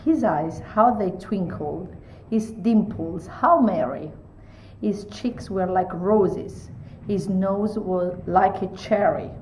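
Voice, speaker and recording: A woman reading a holiday poem aloud in a slow, measured way. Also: mid-range voice, darkish tone, roomy sound, a little hiss or hum, low-quality recording.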